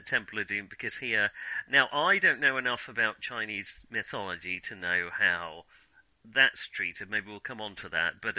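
A middle-aged man in a casual unscripted film discussion as a podcast co-host, often halting and correcting himself mid-thought. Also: fairly low pitch, pushed and bright, no echo, low-quality recording. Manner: halting, self-correcting, trailing restarts.

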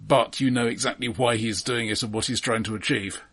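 A man co-hosting a film podcast, discussing in a casual but measured, analytical way. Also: medium pitch, steady tone, a bit bright, breathy edge.

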